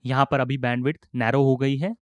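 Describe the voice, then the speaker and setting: A man with an Indian accent narrating an instructional software tutorial in a methodical, explanatory way. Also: medium pitch, fairly even intonation, fairly quick, dry recording. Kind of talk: instructional screen-recording narration.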